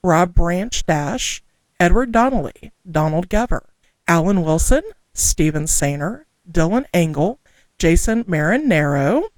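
A woman's voice: lowish voice, animated, a little slow, no echo. A woman from Southwest Missouri with a local accent, co-hosting a podcast in a casual, chatty way, laughing often and tripping over names. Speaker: podcast co-host. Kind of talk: casual chat.

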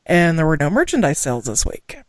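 A woman from Southwest Missouri with a local accent, co-hosting a podcast, chatting casually, laughing often and rushing through lists of names. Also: low voice, very animated, no echo, clean studio sound. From Southwest Missouri, USA. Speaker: podcast co-host. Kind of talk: casual chat and reading listener names.

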